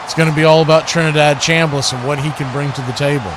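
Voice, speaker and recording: A man's voice: a little high, steady tone, fast. A man hosting a watch party with live football play-by-play, often rephrasing as the play unfolds, crowd noise behind him. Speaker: play-by-play host of a sports watch party stream.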